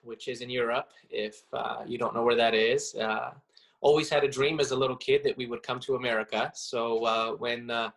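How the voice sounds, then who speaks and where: Man, interview guest, thoughtful, pauses and fillers. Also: mid-range voice, steady tone, vocal fry, fairly bright tone. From Romania. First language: Romanian. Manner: reflective, halting, many fillers. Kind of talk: casual interview.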